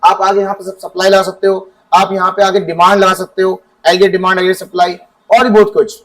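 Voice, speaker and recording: Male teacher, high voice, quizzes the class and answers himself. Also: little pitch movement, a bit bright, low-quality recording. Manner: asks students questions and answers them himself; reads definitions from the book slowly. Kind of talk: classroom economics lesson.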